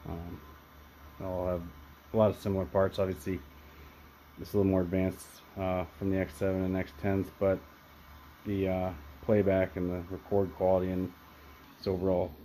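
A man's voice: low-pitched, completely flat delivery, normal speed, long pauses, dark tone, slight background noise, rough recording. A man giving a casual, off-the-cuff product demonstration of audio gear, speaking from behind a handheld camera in a room.

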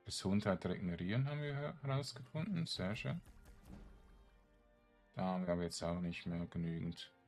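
A man giving casual game commentary, thinking aloud in a halting mutter as he plays. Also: deep voice, lively intonation, darkish tone, faint music behind. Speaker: gaming streamer.

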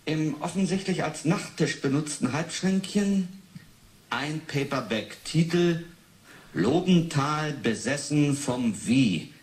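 Sober investigator, roomy sound. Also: male voice, a little high, lively intonation, slow pace, a little mellow. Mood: matter-of-fact. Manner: matter-of-fact, clipped. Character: sober, probing official investigator, formal and sceptical. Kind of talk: 1980s German radio drama acting. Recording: roomy sound, faint noise.

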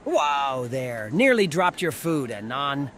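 A man's voice: medium pitch, big pitch swings, slow, fairly bright tone, a faint crowd. A man voicing a friendly, laid-back teenage pterodactyl boy in a visual novel, casual and easygoing. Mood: teasing. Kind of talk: visual novel character dialogue.

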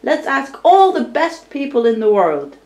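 A woman's voice: sits high, big pitch swings, moderate pace, darkish tone, voice pushed up. A woman voicing a poor male carpenter in a storybook tale, resigned but hopeful, plain-spoken and practical. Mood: practical, troubled. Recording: roomy, distant amateur recording.

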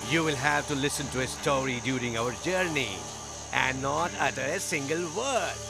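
A man's voice: mid-range voice, moderate pace, gravelly, close to an everyday voice. A man voicing a taunting ghost storyteller in a children's cartoon, mocking and theatrical, with music behind. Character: taunting male ghost Betal, storyteller spirit. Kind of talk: animated children's story acting.

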